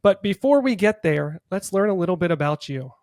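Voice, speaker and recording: A man's voice: sits high, expressive, a little fast, a little mellow, dry recording, clean studio sound. A man hosting a casual interview podcast in an upbeat, enthusiastic manner. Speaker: podcast host; orthodontist.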